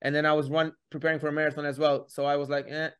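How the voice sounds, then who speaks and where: A man's voice: on the high side, flat delivery, racing, fairly bright tone, clear, resonant tone. A man from Hungary hosting a podcast in a casual, friendly way, rambling a little and restarting his sentences. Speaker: podcast host. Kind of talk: casual interview chat.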